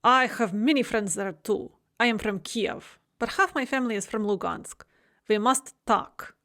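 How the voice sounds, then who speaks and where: A woman's voice: a little high, measured pace, sharp tone. A woman voicing a blunt, demanding woman from Kiev with a harsh Ukrainian accent. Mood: insistent.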